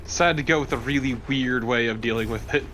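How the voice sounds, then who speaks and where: A young man of medium pitch talks casually over someone's video game. His voice is bright and forceful, and he swears freely in a conversational way. There is faint noise and game ambience behind him. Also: fast. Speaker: co-commentator on a let's play. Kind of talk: casual chat over gameplay.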